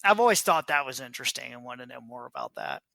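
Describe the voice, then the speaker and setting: A man's voice: medium pitch, wildly swooping pitch, fast talker, gravelly, sharp tone, dry recording, studio quality. A man co-hosting a podcast in informal, casual chat, dropping "like" into his sentences. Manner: informal, peppered with "like". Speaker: podcast co-host.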